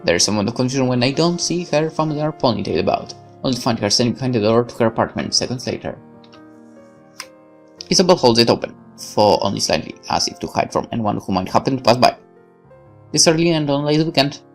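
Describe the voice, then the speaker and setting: Let's player for visual novel read-aloud: creaky, mid-pitched, expressive narration with casual asides, light music underneath.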